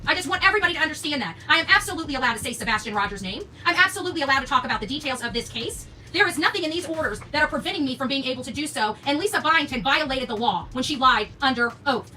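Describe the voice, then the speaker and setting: Fast, high-pitched indignant woman. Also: animated, bright, forceful tone. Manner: indignant, emphatic, repeats herself for stress. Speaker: YouTube channel host. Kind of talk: livestream commentary, reading documents aloud. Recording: distant mic, noticeable background noise.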